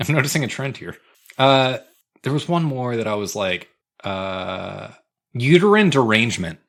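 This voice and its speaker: A man's voice: mid-range voice, sing-song, moderate pace, creaky, fairly bright tone. An American man in his twenties co-hosting a podcast with casual, profane, slangy comedic chat. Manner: profane, slangy, often breaking into laughter. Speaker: podcast co-host.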